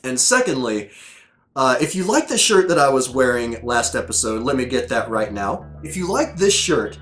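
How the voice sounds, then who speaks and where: A man's voice: mid-range voice, sing-song, normal speed, a bit bright, soft background music. Scratchy-voiced man rambling casually. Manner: loose and chatty, many fillers and restarts. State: scratchy sore throat, losing voice. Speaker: host reviewing episodes. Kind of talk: casual rambling commentary to camera.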